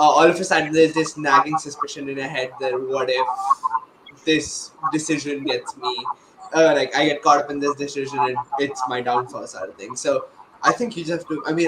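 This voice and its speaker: Young male panelist, mid-pitched, casual and conversational, heavy noise and roomy echo. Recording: echoey, very noisy online call.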